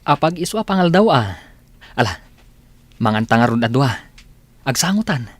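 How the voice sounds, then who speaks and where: Mild-mannered grown son. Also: male voice, medium pitch, big pitch swings, moderate pace, dry recording. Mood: casual, friendly. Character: easygoing grown son, mild, respectful, reluctant suitor. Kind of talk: radio drama acting.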